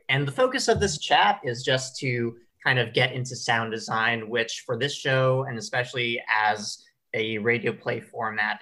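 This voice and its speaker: A man hosting a casual panel discussion as a director, explaining things in a measured way. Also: mid-range voice, sharp tone, low-quality recording.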